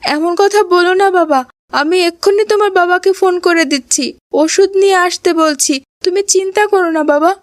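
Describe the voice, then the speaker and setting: A woman playing a gentle, reproving mother of a schoolboy in a children's moral cartoon. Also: very high voice, brisk, no room sound, clean studio sound. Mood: alarmed, worried.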